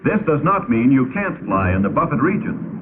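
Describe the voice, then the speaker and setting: An American man narrating a 1950s training film in a measured, authoritative, instructive manner, on an old film soundtrack. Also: mid-range voice, sing-song, phone-quality sound, background noise. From United States.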